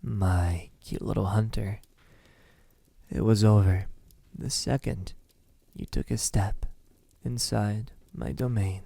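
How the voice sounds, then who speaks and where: A man playing a possessive, lovesick vampire captor in an ASMR roleplay, speaking intimately in a husky voice that often drops into a whisper. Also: fairly low pitch, steady tone, warm tone, dry recording, heavily acted. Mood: menacing, mocking. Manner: slow, lingering, halting delivery.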